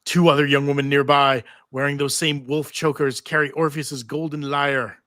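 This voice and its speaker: A man with a bright, slightly high voice narrates a script at a live table read. He is theatrical and wry, and the sound is dry and close. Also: little pitch movement, normal speed, dry recording. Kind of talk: live reading of TV script stage directions with asides. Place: live convention panel.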